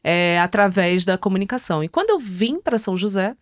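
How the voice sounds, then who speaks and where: A middle-aged Brazilian woman telling stories conversationally as an interview guest, articulate and expressive, acting out quotes as she goes. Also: medium pitch, brisk, dry recording, studio quality. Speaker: podcast guest.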